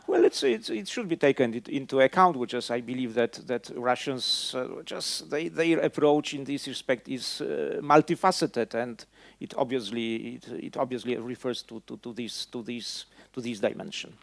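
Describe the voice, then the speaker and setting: A man's voice: mid-range voice, moderate pace, vocal fry, no room sound. A man with a Polish accent speaking on a conference panel, often repeating words as he searches for them. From Poland. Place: conference hall.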